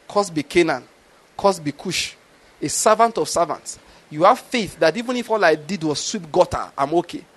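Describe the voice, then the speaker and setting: A middle-aged preacher with a notably high voice for a man. He preaches in a storytelling way, often stopping to ask the congregation whether they follow. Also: fairly bright tone. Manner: storytelling with rhetorical questions. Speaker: pastor. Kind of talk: sermon.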